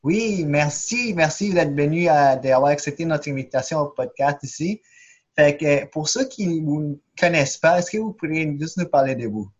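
A podcast host speaks with a husky edge. The pitch sits in the middle, the phrasing is informal, and the recording is a little dull and lo-fi. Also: normal speed. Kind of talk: podcast interview. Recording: dull, lo-fi sound.

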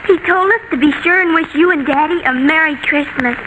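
A woman's voice: high-pitched, fairly quick, natural voice. A woman playing a sweet little girl talking to her daddy in 1940s radio comedy style. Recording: noisy old radio broadcast recording.

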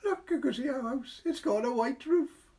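A man voicing a cheeky, excitable little boy in a storybook reading. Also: very high-pitched, normal speed, voice pushed up. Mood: excited.

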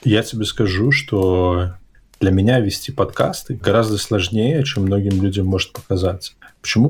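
Low husky-voiced man, unhurried. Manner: hesitant, self-correcting, reflective. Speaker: podcast host. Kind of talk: casual conversational interview. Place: abroad, in an English-speaking country.

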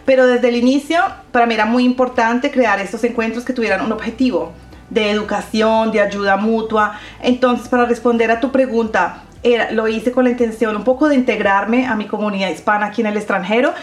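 A middle-aged woman talks in a fairly high voice, and the room gives her an echoey sound. She explains things steadily and stops now and then to think. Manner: steady, explanatory, with pauses and fillers. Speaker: radio show host, interview guest. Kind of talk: conversational radio interview.

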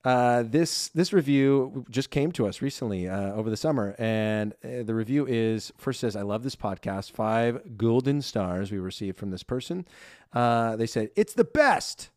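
A young man co-hosting a podcast, reading listener reviews in a lively, self-deprecating chat and laughing easily. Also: medium pitch, normal speed, no room sound, clean studio sound. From Ireland. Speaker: podcast co-host.

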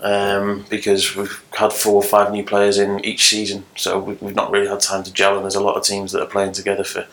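A man's voice: fairly low pitch, monotone, brisk, few pauses, a bit bright, low-quality recording. A man speaking as a guest on a casual podcast, earnest and plain-spoken with frequent ums.

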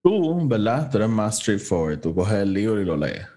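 A man's voice: on the low side, big pitch swings, normal speed, dark tone. A man with a Puerto Rican Spanish accent hosting a podcast in casual chat, informally mixing in English words. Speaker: podcast host.

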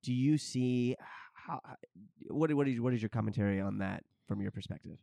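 Casual guy interviewing, creaky voice, kinda halting, no room echo. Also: mid-range voice, dark tone.

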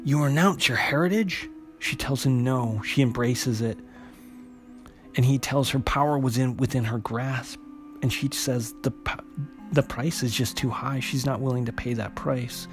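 A man hosts an informal story recap with music clearly playing behind him. His voice sits at an average pitch. He narrates in a halting way, circling back to repeat words and restart phrases as he goes. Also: steady tone. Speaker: host retelling a comic book story.

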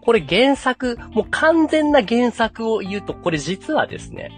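A man's voice: extremely high pitch, big pitch swings, unhurried, soft background music. A man in his thirties casually chatting and explaining as a podcast host.

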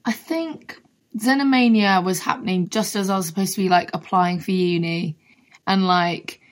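Husky-voiced young woman, chatty and casual. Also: on the low side, normal speed. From London. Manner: chatty, peppered with "like". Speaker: singer-songwriter guest. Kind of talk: casual interview chat.

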